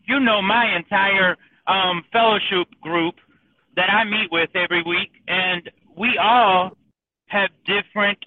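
A man's voice: high-pitched, steady tone, unhurried, no room sound. A man joining a church discussion, earnest and reflective. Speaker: group member. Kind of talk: casual discussion. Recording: tinny phone line.

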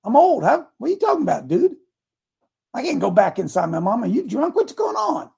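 A man's voice: very high-pitched, wildly swooping pitch, fairly quick, vocal fry, a little mellow, breathy edge, pitched above the performer's own voice, heavily acted. An older man voicing a baffled old man who cannot believe what he is hearing, in a slangy, incredulous way. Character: baffled old man questioning being reborn.

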